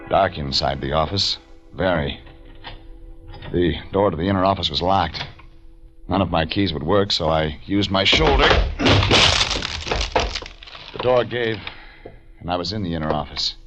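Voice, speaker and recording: An actor playing a tough, wry private detective in clipped 1940s radio drama style. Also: male voice, sits low, unhurried, pauses often. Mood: terse, suspenseful. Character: tough, wry male private detective narrating his case. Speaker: actor playing the lead and narrator. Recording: dull old radio recording with music.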